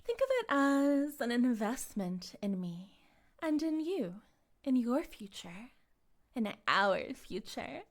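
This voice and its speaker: Woman acting a slow, seductive, sadistic cult leader. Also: a little high, sing-song. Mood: satisfied, persuasive. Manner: slow, deliberate, teasing, often dropping into breathy whispers. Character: sadistic, possessive female cult leader captor, smug and seductive. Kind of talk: intimate scripted roleplay monologue.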